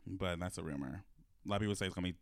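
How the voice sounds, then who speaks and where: A man's voice: low-pitched, very fast, no room sound, studio quality. A man in his twenties co-hosting a podcast, laid-back and teasing, laughing often. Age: 20s. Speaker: podcast co-host. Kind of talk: casual chat.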